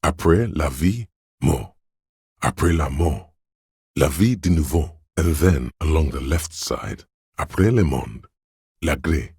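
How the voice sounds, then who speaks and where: An actor voicing a detective's inner sense of sight in a video game, calm and observant, narrating in measured, literary lines with a dry wit. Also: male voice, dry recording, full character voice. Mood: observant, solemn. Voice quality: very deep, gravelly, husky. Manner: measured, deliberate, dryly wry.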